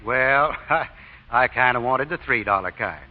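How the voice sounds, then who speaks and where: An actor playing a genial, folksy small-town deacon who slyly feigns innocence, in old radio drama style. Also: male voice, medium pitch, expressive, unhurried. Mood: affable. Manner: folksy, polite, drawling modesty. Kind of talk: 1940s radio acting. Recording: muffled old radio recording.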